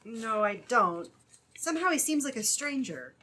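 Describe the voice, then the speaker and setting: A woman's voice: on the high side, sing-song, normal speed, bright, forceful tone, exaggerated voice. A woman playing an earnest, tender young sweetheart in an old-time radio melodrama. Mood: tender, relieved.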